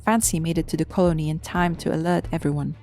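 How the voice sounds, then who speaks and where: A woman narrates a scripted tale, storybook fashion. Her voice is dark and soft-edged, a little low, with light music behind it. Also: fairly even intonation, fast talker.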